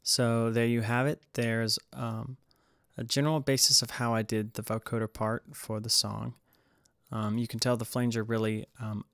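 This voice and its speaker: A man's voice: mid-range voice, gravelly, fairly bright tone, dry recording, studio quality. A man giving a casual, hesitant tutorial voice-over as he explains music production. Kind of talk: casual screen-recorded tutorial narration.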